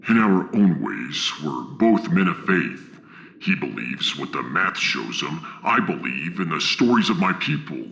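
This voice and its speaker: Very deep, gravelly alien warrior. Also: male voice, very animated, a little slow, fairly bright tone, highs cut off, slight background noise, heavily acted. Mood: reverent. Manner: grave, proud, formal speech. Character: solemn, fierce, devout warrior from a harsh moon. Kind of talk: science fiction audio drama acting.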